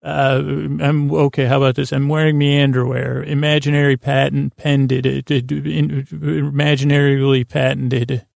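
Male podcast host, heavy vocal fry, dry recording, halting and meandering bedtime monologue that constantly interrupts itself. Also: medium pitch, flat delivery, a little mellow, dry recording. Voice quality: creaky, gravelly. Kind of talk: rambling sleep podcast monologue.

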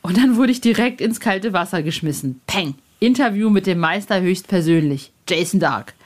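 A woman's voice: fairly low pitch, animated, moderate pace. A woman hosting a podcast in an informal, effusive, slangy chat style. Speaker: podcast host and interviewer. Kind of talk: chatty podcast moderation and casual interview.

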